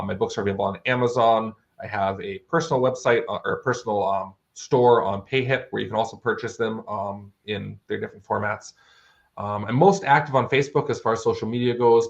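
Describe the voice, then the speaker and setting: A man's voice: lowish voice, vocal fry, lo-fi sound. A man chatting casually as an interview guest, explaining things in an informal, conversational way. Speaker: interview guest, fantasy author.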